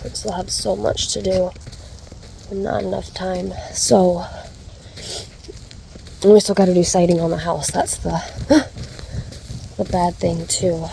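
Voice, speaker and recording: A woman's voice: on the low side, level tone, takes it slowly, creaky, long pauses, warm tone, a little hiss or hum, poor audio. A woman giving a casual vlog update, stuffy and congested, chatty with lots of ums and restarts. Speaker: vlogger.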